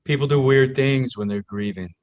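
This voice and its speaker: A man's voice: mid-range voice, moderate pace, darkish tone, close to an everyday voice. A man in a screenplay table read, playing a frank, matter-of-fact coworker who supports an investigator. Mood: sympathetic.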